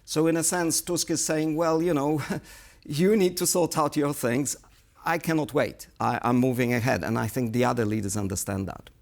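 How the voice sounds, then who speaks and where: A man speaking as a guest analyst in a current affairs interview, explaining things in a measured, analytical way. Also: mid-range voice, steady tone, creaky, darkish tone. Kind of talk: studio interview commentary.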